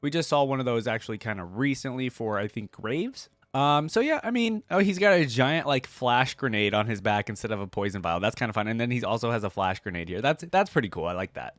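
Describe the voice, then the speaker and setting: A man hosting a reaction video, commenting casually in an expressive, animated way with frequent exclamations. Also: mid-range voice, fairly quick, few pauses, dry recording.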